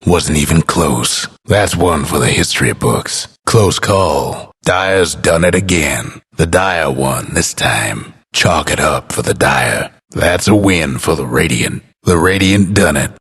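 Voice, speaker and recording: An actor playing a laconic, folksy, world-weary male game announcer with an American accent and a gravelly, husky voice. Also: extremely low pitch, animated, fairly bright tone, heavily acted. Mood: understated approval. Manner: folksy, unhurried, understated. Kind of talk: scripted game announcer lines.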